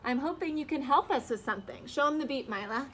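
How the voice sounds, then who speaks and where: A woman giving an expressive picture-book read-aloud for young children, with dramatic gasps and sing-song repeated phrases. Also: high voice, fast. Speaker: children's storyteller, reading aloud with her young daughter. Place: outdoors. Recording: outdoor ambient noise behind the voice.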